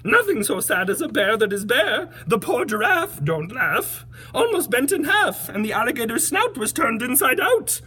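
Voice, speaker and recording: A pitiful, indignant storybook bear, voiced by a woman. He whines loudly in emphatic rhyme, raised in pitch and very animated. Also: normal speed, hardly any pauses, a little hiss or hum. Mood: aggrieved pleading. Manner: loud, whining, emphatic rhyme. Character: indignant, pitiful bear bemoaning his lot. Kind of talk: animated picture-book read-aloud.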